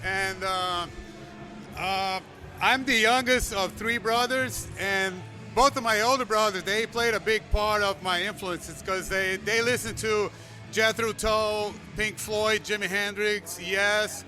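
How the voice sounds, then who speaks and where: Brazilian guy w/ accent, high bright voice, friendly, pauses for words. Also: steady tone, soft background music. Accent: Brazilian Portuguese. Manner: friendly, halting, searching for words. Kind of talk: casual interview answers. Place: outdoors at a noisy event.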